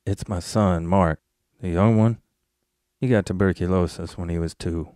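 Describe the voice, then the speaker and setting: A man's voice: deep voice, fairly even intonation, moderate pace, warm tone, smooth, close to an everyday voice. A man playing a stubborn, earnest rancher father in an amateur Western audio drama, talking plainly and folksily. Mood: earnest, weary.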